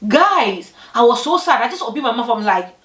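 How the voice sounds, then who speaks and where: A young woman from Ghana telling personal stories to camera in a lively way, acting out what other people said. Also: brisk. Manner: animated, acts out quoted people with big pitch jumps. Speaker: vlogger. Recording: echoey room, voice from a distance.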